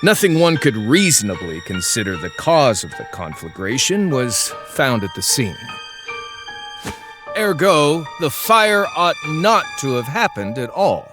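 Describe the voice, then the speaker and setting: An actor playing a smug, insinuating courtroom accuser in a game, with theatrical, pointed delivery and drawn-out pauses. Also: male voice, mid-range voice, sing-song, over music. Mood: smug accusing. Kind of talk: dramatic game dialogue.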